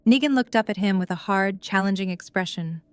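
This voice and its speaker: A woman's voice: medium pitch, fairly quick, clear, resonant tone, dry recording. A woman narrator reading scripted dramatic prose for an audio drama.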